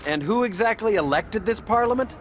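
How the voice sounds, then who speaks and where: An actor playing a flippant, sarcastic archer superhero in a video game, tossing off wry quips. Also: male voice, sits high, lively intonation, brisk. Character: cocky, sarcastic wisecracking male archer hero. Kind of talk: video game character acting. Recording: game ambience noise behind.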